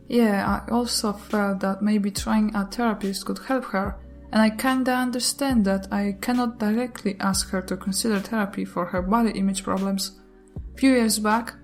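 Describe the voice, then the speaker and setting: A woman whose first language is not English, reading online posts and comments aloud in a steady way, with brief chatty asides. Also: medium pitch, vocal fry. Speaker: YouTuber reading Reddit posts.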